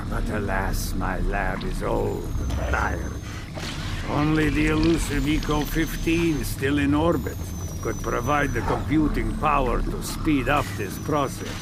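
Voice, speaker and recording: A man playing an eccentric, scheming old scientist in a video game, with halting, theatrical delivery and florid wording. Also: mid-range voice, very noisy. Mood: musing, wistful. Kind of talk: video game cutscene acting.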